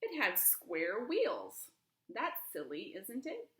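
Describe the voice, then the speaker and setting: A woman's voice: a little high, huge pitch swings, takes it slowly, a bit bright. A woman reading a children's storybook aloud expressively, with emphasis and questions put to young listeners. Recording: roomy, echoing room.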